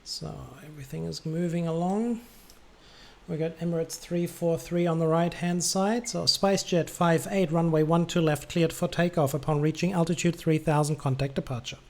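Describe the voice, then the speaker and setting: A man's voice: on the high side, fairly even intonation, normal speed. A man streaming as an air traffic controller, giving clipped procedural commands mixed with casual muttered asides.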